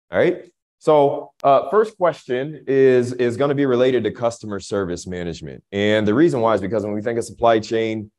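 A man's voice: deep voice, darkish tone. A man moderating a live panel discussion, explaining questions to the panelists and audience.

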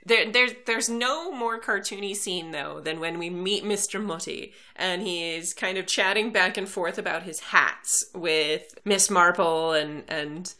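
A woman with a crisp, bright voice co-hosts a casual book podcast. She recaps the plot in an animated way, laughing and restarting her sentences as she goes. Her tone carries a lively, forward edge. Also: lowish voice, unhurried, hardly any pauses. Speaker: podcast co-host. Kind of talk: casual book discussion chat.

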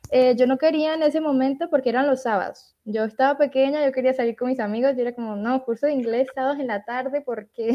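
A woman's voice: sits high, fairly quick, hardly any pauses. A young woman from Latin America, a translator and podcast guest, telling stories in a chatty way and laughing often. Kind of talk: casual podcast interview. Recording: dull lo-fi audio.

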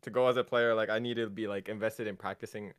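A man's voice: on the low side, fairly even intonation, clear, resonant tone, no echo. A young man with an American accent chats as an interview guest. He halts often, restarting phrases and filling gaps with small words. The recording is dry and close. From Japan. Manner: halting, many fillers and restarts, laughs often. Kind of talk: casual interview chat.

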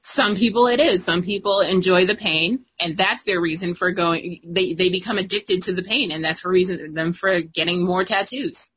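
A young woman co-hosting a casual chat, talkative and quick to laugh, with lots of "like" and fillers. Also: on the low side, fairly bright tone, husky. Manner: chatty, laughs often, many fillers. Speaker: guest co-host.

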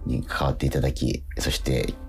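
A man's voice: a bass voice, lively intonation, fairly quick, creaky. A man hosting a radio show in casual chat, effusive and full of interjections. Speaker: radio host. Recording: music playing behind the voice. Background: music bed.

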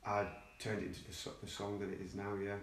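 A man's voice: lowish voice, completely flat delivery, fast, reverberant, far from the mic, faint music behind. A man chatting casually and laid-back, nasal and congested with a cold, swearing now and then. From England. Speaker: singer-songwriter guest. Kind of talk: casual chat.

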